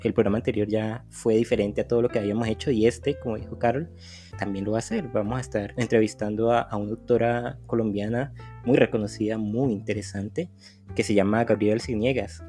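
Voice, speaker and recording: Brief male co-host, lowish voice, friendly and casual, faint guitar under. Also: fairly even intonation, a little fast, a little mellow. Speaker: podcast co-host. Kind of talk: casual podcast interview.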